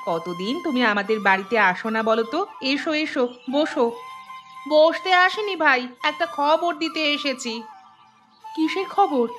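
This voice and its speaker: A woman's voice: high-pitched, fairly bright tone. A woman playing a caring village housewife in a cartoon, earnest and concerned. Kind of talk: Bengali cartoon voice acting. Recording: music behind the voice.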